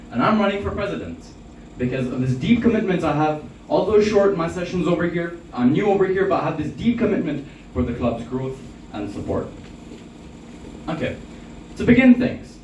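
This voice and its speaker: A man's voice: fairly high pitch, a little mellow. A teenage boy delivering a prepared campaign speech in a formal, earnest manner. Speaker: club presidential candidate. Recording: distant mic in echoey room, faint noise.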